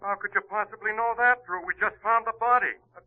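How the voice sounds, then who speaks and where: Highish brisk cop played by a man. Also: natural voice. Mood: puzzled, urgent. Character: brisk puzzled male police sergeant. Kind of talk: old-time radio crime drama acting. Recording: muffled vintage radio, tinny phone sound.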